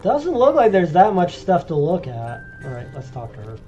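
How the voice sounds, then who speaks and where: A man's voice: sits high, wildly swooping pitch, normal speed, dark tone, highs cut off. A man with a congested, phlegmy voice, giving chatty, joking commentary as he streams a video game. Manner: chatty and joking, rambling recaps. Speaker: let's play streamer. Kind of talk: casual gameplay commentary. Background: game music.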